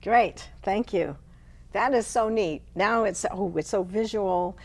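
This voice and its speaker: A woman hosting a poetry program, reading verse expressively and deliberately, and chatting casually between pieces. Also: medium pitch. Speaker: host and poet.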